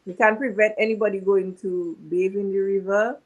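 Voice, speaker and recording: A woman's voice: mid-range voice, fairly even intonation, moderate pace, highs cut off. A woman, an event organizer answering questions reassuringly. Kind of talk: interview answers.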